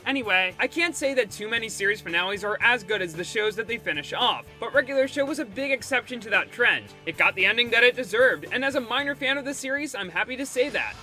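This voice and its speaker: A man's voice: very high voice, lively intonation, fast, few pauses, pushed and bright. A man reading a scripted review as host, with music behind him. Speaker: host reviewing cartoon episodes. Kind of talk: scripted narration.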